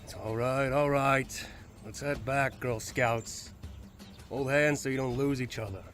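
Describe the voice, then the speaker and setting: An actor playing a cocky young survivor who grumbles and snipes in a snarky way in an audio drama. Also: male voice, mid-range voice, fairly even intonation, a little slow, a bit bright, faint music behind, close to an everyday voice. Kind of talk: scripted audio drama acting.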